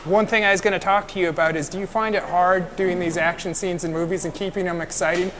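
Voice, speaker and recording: A man's voice: sits high, fairly even intonation. A young American man interviewing a guest on a film set, chatty and joking about himself. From United States. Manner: chatty, self-deprecating, quick to joke. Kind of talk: casual interview chat. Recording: loud background noise on set.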